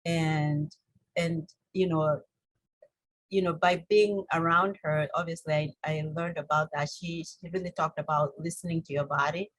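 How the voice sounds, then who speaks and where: A woman with a Kenyan accent telling her story conversationally as an interview guest, in an informal, halting way with many "you knows". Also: low-pitched, fairly even intonation, no room sound, rough recording. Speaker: interview guest, holistic health coach.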